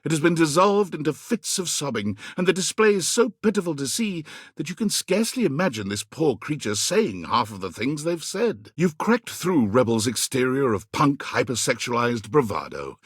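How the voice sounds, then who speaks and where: A man playing a wry, theatrical video game narrator, husky and measured, with a dryly mocking edge. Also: a little high, sing-song, dry recording, clean studio sound. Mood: grave, dramatic.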